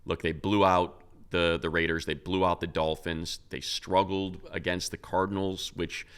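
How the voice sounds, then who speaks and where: A man's voice: low-pitched, flat delivery, moderate pace, a bit bright, smooth. A man co-hosting a casual sports talk show, talking loosely with many restarts and fillers. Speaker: co-host, radio play-by-play announcer.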